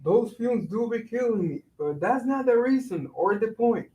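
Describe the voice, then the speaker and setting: Casting: male actor as put-upon young working man, high voice, slangy complaints with some Spanish, heard distant in an echoey room. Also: animated, normal speed, dark tone, a little hiss or hum. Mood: weary, complaining. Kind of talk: staged reading of a one-act play. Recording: roomy echo, voice far from mic.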